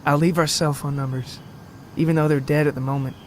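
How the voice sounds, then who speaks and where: A man's voice: on the high side, steady tone, a little fast, a little mellow, slight background noise, lightly acted. A man voicing an earnest, polite but pressing wife in a comic audio story. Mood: pleading. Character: earnest, urgent young wife searching for missing cousin.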